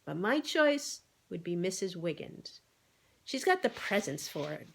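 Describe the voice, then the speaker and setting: A woman voicing a bossy, excitable male pig who makes emphatic, persuasive speeches in an animal storybook. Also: lowish voice, a little slow, gravelly, slight background noise, full character voice. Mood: reasoned. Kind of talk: animal storybook read-aloud.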